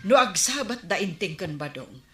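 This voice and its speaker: A woman's voice: fairly low pitch, big pitch swings, normal speed, music in the background. An actress with an Ilocano accent playing an anxious, nagging village woman in a radio comedy-drama. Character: anxious, nagging woman worried about the captain's temper. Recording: roomy echo, at times distant.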